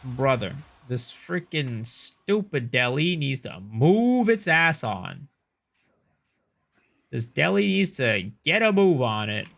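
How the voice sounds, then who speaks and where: Lively man chatting on stream. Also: mid-range voice, takes it slowly, creaky, a little hiss or hum. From United States. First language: Cantonese. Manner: rambling, reads chat aloud, swears casually. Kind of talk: casual live-stream chat while gaming.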